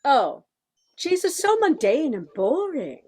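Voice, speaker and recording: A woman acting a refined lady professor in a radio comedy. Her voice is very high and swoops freely, with an arch, theatrical curiosity. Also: big pitch swings, slow pace, darkish tone, full character voice. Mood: arch, amused. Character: refined, curious woman professor who scorns mundane cheese. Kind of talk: comic radio play acting.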